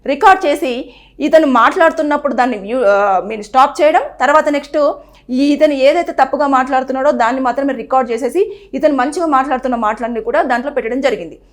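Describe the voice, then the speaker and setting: A woman presenter talks quickly in a high voice. She explains earnestly and gives advice. Now and then she acts out what people say. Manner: earnest, advising, repeats phrases and acts out quoted speech. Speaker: news presenter. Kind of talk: explainer to camera on a legal topic.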